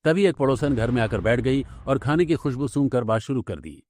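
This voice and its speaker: A man's voice: medium pitch, expressive, brisk, a little mellow. A man narrating a scripted domestic story for a cartoon.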